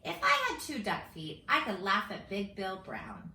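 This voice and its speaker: A woman reading a children's storybook aloud in a silly, theatrical, expressive way, like a teacher at story time. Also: medium pitch, unhurried. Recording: roomy echo with faint background noise.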